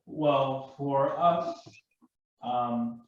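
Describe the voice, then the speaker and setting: Halting man in a very echoey room. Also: medium pitch. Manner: halting, repeats words while explaining. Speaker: panel guest explaining his hobby. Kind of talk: casual explanatory chat. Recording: very echoey, dull, distant room audio.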